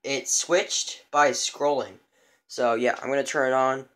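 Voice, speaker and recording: A man giving a casual show-and-tell as a vlogger, rambling and halting as he goes. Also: medium pitch, steady tone, fairly bright tone. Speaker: vlogger showing a radio collection. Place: indoors in a room at home.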